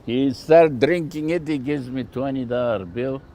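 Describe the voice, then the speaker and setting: A man's voice: medium pitch, a little slow, mellow. An older man from Bulgaria with a Bulgarian accent, telling anecdotes casually and plainly as a shopkeeper being interviewed. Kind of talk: casual oral storytelling.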